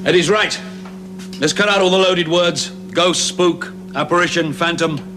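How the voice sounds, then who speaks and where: A man's voice: a little high, lightly acted. An actor as a blunt, driven male team leader. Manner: brisk, commanding, persuasive. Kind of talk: 1970s television drama acting. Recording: hissy old TV soundtrack.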